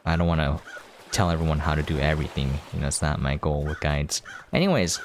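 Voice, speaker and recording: Low-voiced man casually commenting on a game. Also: fairly even intonation, quick pace. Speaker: gaming video host. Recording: clear background noise.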